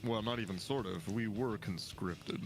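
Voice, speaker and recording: A man playing a tabletop game, speaking in a quiet, deliberate way. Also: fairly low pitch, faint music behind. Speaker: player. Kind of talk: casual tabletop roleplay chat.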